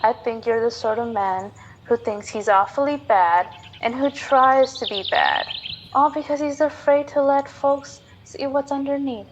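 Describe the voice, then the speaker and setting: A woman's voice: high-pitched, a little slow, lo-fi sound, background noise. A young woman playing a minister's trusting daughter in an amateur radio drama, earnest and plain-spoken. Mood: frank, earnest. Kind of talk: student radio drama acting.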